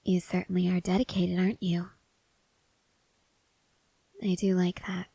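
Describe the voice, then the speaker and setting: A woman acts out a stoic young woman soldier who is quietly devoted to her mate. Her voice is breathy and often slips toward a whisper, with slow, hesitant phrasing. It is an intimate ASMR roleplay, recorded close and dry. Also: lowish voice, level tone, no room sound, heavily acted. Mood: tender, quiet. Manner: hushed, hesitant, gently reserved.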